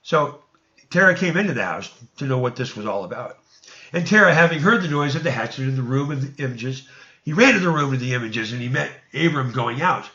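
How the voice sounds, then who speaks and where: A man's voice: medium pitch, lively intonation, brisk, pushed and bright, reverberant. A man reading scripture aloud as theatrical narration, now and then stumbling over words, in a lo-fi recording. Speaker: reader. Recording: dull, lo-fi sound.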